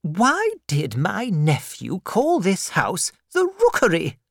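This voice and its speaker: A woman voicing a stern, brusque older aunt who gives orders in a slow, emphatic manner while reading a storybook aloud. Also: lowish voice, wildly swooping pitch, no echo, studio quality, pitched above the performer's own voice, heavily acted. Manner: brisk, bossy, slowed and emphatic.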